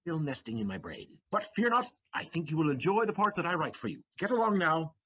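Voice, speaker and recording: A man's voice: on the high side, big pitch swings, brisk, pushed and bright, breathy edge, highs cut off, heavily acted. A man acting a shrewd, sardonic, swaggering servant in an audio play, with declamatory, mocking delivery and theatrical emphasis. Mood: scheming.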